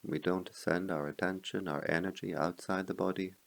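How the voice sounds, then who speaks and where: A man leading a guided meditation as a teacher, speaking in slow, measured phrases with long pauses. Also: low voice, steady tone, gravelly, a bit bright.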